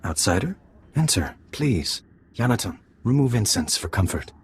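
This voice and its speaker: A man playing a solemn alien native in a video game, speaking with stilted formality and a gravelly voice. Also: on the low side, big pitch swings, normal speed, slight background noise. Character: solemn male alien native, tea house owner's son. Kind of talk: cinematic video game dialogue.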